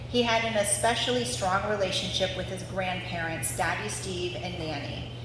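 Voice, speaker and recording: A woman reading out a scripted family story before a live audience. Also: on the low side. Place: large reverberant room at a family gathering. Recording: very echoey hall with clear background noise.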